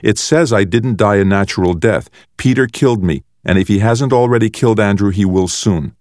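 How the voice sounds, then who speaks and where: A man voicing a bright, defiant young sister in an audiobook, sharp-tongued and accusing as she stands up to a bully. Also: lowish voice, brisk, dry recording, studio quality, pitched above the performer's own voice, close to an everyday voice. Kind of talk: audiobook character voice.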